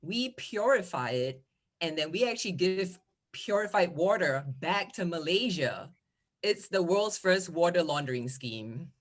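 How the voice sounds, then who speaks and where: A woman's voice: lowish voice, measured pace. Wry woman doing stand-up. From Singapore. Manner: wry, playful, deadpan punchlines. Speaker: comedian. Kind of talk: stand-up comedy set. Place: home, on a video call. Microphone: video call.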